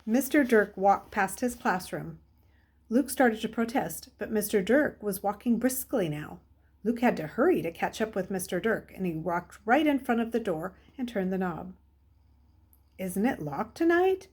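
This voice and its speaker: A woman's voice: mid-range voice, animated, normal speed, a little mellow, slightly rough. A woman reading a children's novel aloud as a storyteller. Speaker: story reader.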